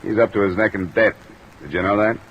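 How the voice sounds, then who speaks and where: A man's voice: fairly low pitch, quick pace, highs cut off. A man playing a tough, probing American insurance investigator in a 1950s radio drama, clipped and dry in delivery. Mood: pressing, skeptical. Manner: clipped, persistent questioning, dry first-person narration. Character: tough, probing male freelance insurance investigator, narrating his case. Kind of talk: 1950s radio detective drama acting and narration. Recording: old radio recording with steady rain noise.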